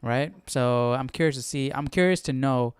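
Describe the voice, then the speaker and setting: A man hosting a Bible study, talking casually and reflectively, often thinking out loud and posing questions. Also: medium pitch, moderate pace, clean tone, dry recording, studio quality. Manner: musing aloud, questioning. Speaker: host of a live Bible study stream.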